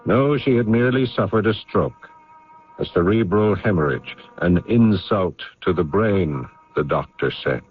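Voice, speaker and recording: An actor playing a weary, burdened family man in a theatrical radio drama, measured when reflecting and exasperated when arguing. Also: low-pitched, animated, breathy edge, heavily acted. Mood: somber, reflective. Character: weary, burdened man caring for troubled family, narrating memories. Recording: muffled old radio broadcast, music underneath.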